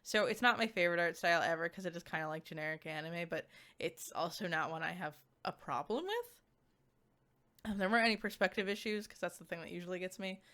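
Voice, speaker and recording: A woman giving a casual, chatty game review as a streamer, speaking informally and haltingly with lots of filler words. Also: lowish voice, sharp tone. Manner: informal, halting, filler-heavy speech with frequent "like".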